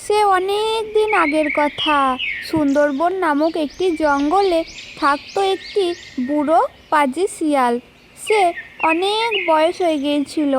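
A woman's voice: extremely high pitch, background noise. A woman storyteller reading a scripted children's folk tale as narrator.

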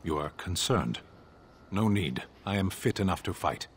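A stern, stoic soldier in a fantasy game. His voice is very deep, his words terse, formal and deadpan, with faint noise behind. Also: a little slow. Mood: stoic, curt. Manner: curt, formal, deadpan, no contractions. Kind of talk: video game dialogue acting.

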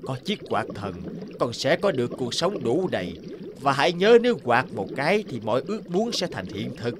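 A man's voice: mid-range voice, big pitch swings, moderate pace, noisy background, natural voice. A man voicing a benevolent old sea king in a cartoon, stately and magnanimous. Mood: gracious, stately. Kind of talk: cartoon dialogue.

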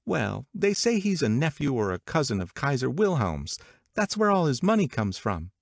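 Guy doing a chatty worldly young woman for an audiobook, high lilting voice, excitable, spilling secrets. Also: moderate pace, creaky, no echo, clean studio sound. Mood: confiding. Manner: confiding, excitable, emphatic. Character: gossipy, worldly young woman, eager and confiding. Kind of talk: audiobook character dialogue.

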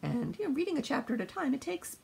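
Older woman, gravelly and animated, swinging pitch, informal storytelling chat in a husky voice. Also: fast. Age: older, around 60s. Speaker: co-host, mother discussing books. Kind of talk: casual rambling chat. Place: small book-lined room indoors.

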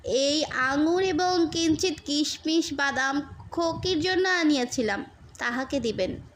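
A man's voice: very high-pitched, measured pace, a bit bright, slight background noise. A woman voicing a humble, tender-hearted Afghan fruit seller, a homesick father speaking respectfully and earnestly in a storybook reading. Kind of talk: storybook character dialogue.

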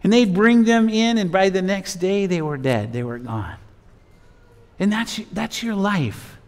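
High-pitched preaching man in a reverberant hall. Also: expressive, unhurried. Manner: emphatic, rhetorical delivery in short phrases. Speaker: pastor. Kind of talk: expository sermon with Bible reading. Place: church sanctuary, at a pulpit. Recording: reverberant church hall sound.

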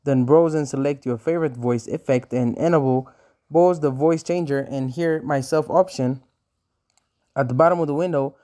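A man's voice: on the high side, moderate pace, dark tone. A man reading a scripted tutorial voice-over, giving instructions step by step. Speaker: tutorial narrator.

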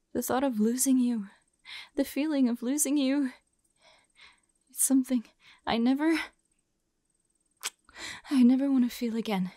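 Intimate audio roleplay: woman as vulnerable, devoted female lover, higher mellow voice, halting and breathy, near whisper. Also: no echo, clean studio sound. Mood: relieved, emotional.

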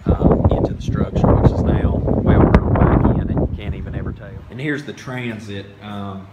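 A young man with a fairly low voice gives an informal, folksy tour of a job site. He rambles along while holding the phone, with clear noise around his voice. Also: fairly even intonation, normal speed, muffled recording. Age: young adult. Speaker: off-grid solar contractor, video host. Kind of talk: informal handheld video tour. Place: hilltop job site, in and out of doors. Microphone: phone held by the speaker. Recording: noisy handheld recording with wind.

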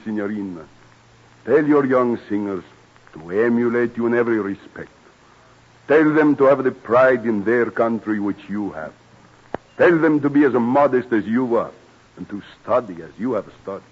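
A husky-voiced old European music master, played by a man, courtly and admiring. He speaks in formal, measured phrases, in the style of an old radio play, on a muffled vintage broadcast. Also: medium pitch, close to an everyday voice. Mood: admiring, solemn. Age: older. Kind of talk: old-time radio drama acting.

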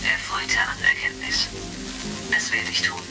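A courteous combat robot sidekick, creaky and lowish, speaks in stilted formal phrases. He sounds a little distant on a crackly old radio-play record, with music behind him. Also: huge pitch swings, a little slow, heavily acted. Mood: dutiful, matter-of-fact. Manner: formal, stilted, announces each emotion before speaking. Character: polite, literal-minded combat robot sidekick, announces feelings. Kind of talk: retro sci-fi radio play acting.

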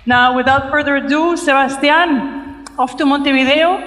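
A woman's voice: high-pitched, a little slow, a bit bright. A middle-aged woman presenting a live online event cheerfully as host, on a headset mic. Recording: echoey event room.

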